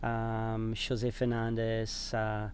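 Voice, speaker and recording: A man's voice: fairly low pitch, monotone, extremely slow, a little mellow, a little hiss or hum. A young man with a New Zealand accent, talking as a podcast guest in a parked RV. He rambles and often restarts words mid-way. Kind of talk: casual chat. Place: inside a parked RV.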